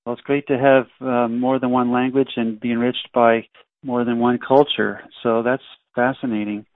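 A man's voice: mid-range voice, flat delivery, moderate pace, dry recording. A man interviewing a guest conversationally, speaking in an affirming, encouraging way. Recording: dry, narrow phone-like line.

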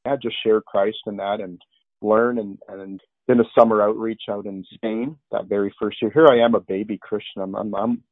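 A man's voice: lowish voice, steady tone, normal speed, no room sound. Earnest man on a narrow phone line. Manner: earnest, rambling with restarts and fillers. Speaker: interview guest. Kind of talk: conversational testimony.

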